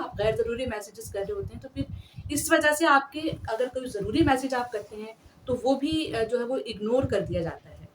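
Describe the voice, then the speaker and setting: A woman hosting an etiquette talk, speaking earnestly and politely to her listeners. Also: mid-range voice. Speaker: host. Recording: distant mic with clear background noise.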